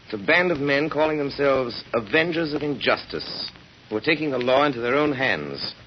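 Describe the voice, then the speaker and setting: An actor playing an urbane, composed gentleman detective, brisk and matter-of-fact, in an old radio drama. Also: mid-range voice, lively intonation, slight background noise, natural voice. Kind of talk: 1930s radio drama acting. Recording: muffled old radio recording.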